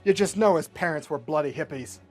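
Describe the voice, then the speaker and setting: A man's voice: fairly high pitch, fairly quick, breathy edge. A man voicing a brash, foul-mouthed superhero team leader in a blunt, exasperated manner as he tells a story. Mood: indignant, commanding.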